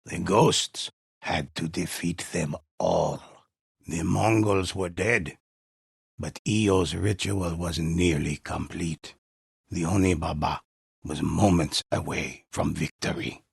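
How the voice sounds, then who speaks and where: Solemn old storyteller for a game, super raspy and gravelly, grave dramatic legend narration, real measured. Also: male voice, low-pitched, no room sound, clean studio sound, heavily acted. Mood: grave, ominous. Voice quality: gravelly, husky.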